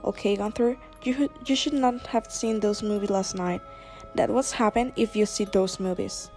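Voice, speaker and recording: A woman playing a caring, worried mother with a gentle, coaxing manner in an amateur radio drama. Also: medium pitch, normal speed, soft background music, lightly acted. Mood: concerned.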